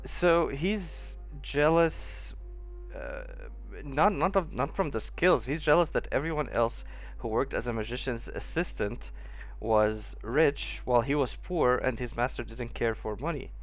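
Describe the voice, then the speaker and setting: A man's voice: medium pitch, a little slow, soft background music. A man giving casual gameplay commentary, reacting aloud and puzzling over the story as he plays. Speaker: streamer playing a story game.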